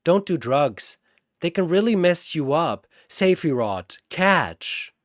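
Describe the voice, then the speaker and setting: A man's voice: on the high side, very animated, takes it slowly, no room sound, studio quality, pitched above the performer's own voice. A man voicing a cheerful, naive, friendly peacemaker in a story, chirpy and earnest.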